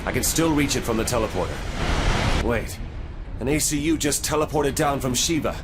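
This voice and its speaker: An actor playing an earnest, determined young military commander and loyal son in a video game cutscene. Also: male voice, mid-range voice, expressive, moderate pace, lightly acted. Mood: urgent, resolute. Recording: loud music under the voice.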